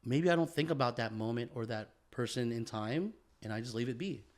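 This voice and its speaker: A man's voice: medium pitch, expressive, brisk. Casual, profane male podcast co-host. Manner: informal, profane, repeats phrases, peppers talk with "man" and "bro". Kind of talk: casual, reflective conversation.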